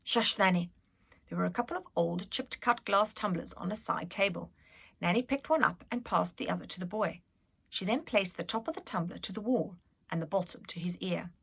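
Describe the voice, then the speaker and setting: A woman reading a children's story aloud in an expressive, animated and dramatic manner. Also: on the low side, a little fast, gravelly, slightly rough. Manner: animated, dramatic, with whispered suspense. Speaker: reader, school staff member.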